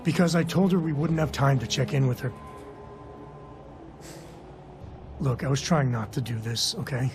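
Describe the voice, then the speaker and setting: Guy playing a weary folksy space cowboy in a game, guarded, mid voice, music pretty loud behind. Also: mid-range voice, brisk, natural voice. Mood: exasperated. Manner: casual, reluctant, folksy. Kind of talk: video game voice acting.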